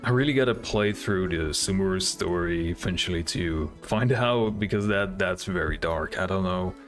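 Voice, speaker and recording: A man's voice: low-pitched, normal speed. A man hosting a reaction video, commenting casually and hesitantly as he thinks aloud. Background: music.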